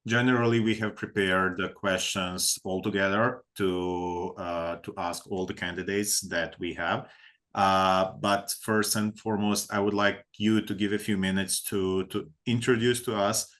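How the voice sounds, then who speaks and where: A man's voice: sits low, fairly even intonation, unhurried, fairly bright tone. A man opening a formal interview, hesitant and repeating words as he invites the candidate to speak.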